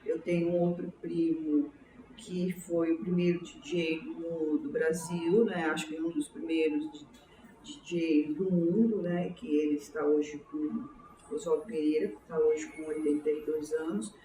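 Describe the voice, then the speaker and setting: Woman in her 60s from sao paulo, paulistana accent, interview memories, halting and reflective, kinda far from the mic with faint noise. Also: deep voice, little pitch movement, dark tone. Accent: São Paulo Brazilian Portuguese. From São Paulo, Brazil. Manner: halting, reflective, repeats words and seeks agreement. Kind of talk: oral history interview, autobiographical recollection. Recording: roomy, distant mic, faint background noise.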